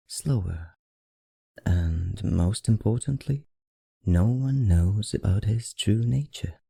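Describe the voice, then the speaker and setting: A man performs a suave vampire librarian with a very dark, husky murmur and an affected accent. He takes his time, courtly and softly teasing, with a quiet predatory edge. It is an intimate ASMR roleplay, recorded close and dry. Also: no room sound, exaggerated voice. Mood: hushed storytelling. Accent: affected, non-native accent. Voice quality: low, dark, gravelly murmur.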